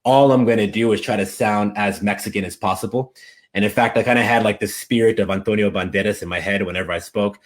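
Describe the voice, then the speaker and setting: A man's voice: fairly low pitch, fast talker. A man being interviewed as a guest, telling stories in a casual, enthusiastic way. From United States. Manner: animated, enthusiastic storyteller. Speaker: interview guest, language coach.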